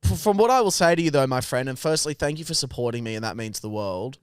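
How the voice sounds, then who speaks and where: A man's voice: mid-range voice, lively intonation, fast, clean tone, no room sound, clean studio sound. Blunt, swearing Australian male podcast host. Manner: blunt, crude joking. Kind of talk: casual banter, frequent swearing.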